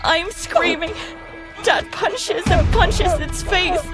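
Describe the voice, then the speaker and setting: A woman playing a terrified young daughter, narrating an audio drama in the first person in halting, emotional fragments. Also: extremely high pitch, over loud music. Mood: horrified, urgent. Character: terrified young daughter recounting a monster attack at sea.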